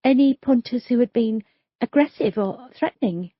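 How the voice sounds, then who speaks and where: A woman's voice: on the high side, moderate pace, breathy edge, dry recording, dull audio, voice pushed up. A woman voicing a polite, unsure young policewoman who talks in a placating, hesitant way. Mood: questioning.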